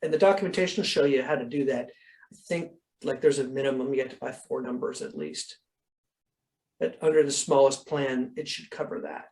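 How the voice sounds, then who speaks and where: Instructor, mid-pitched, informal coaching Q&A on a video call, explanatory and conversational. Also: lively intonation, fairly quick, darkish tone. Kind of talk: informal question-and-answer coaching.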